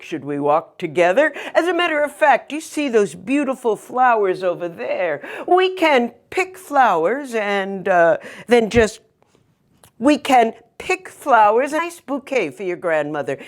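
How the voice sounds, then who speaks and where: A woman voicing a sly, hungry male wolf in a fairy tale, coaxing in drawn-out phrases broken by howls. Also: medium pitch.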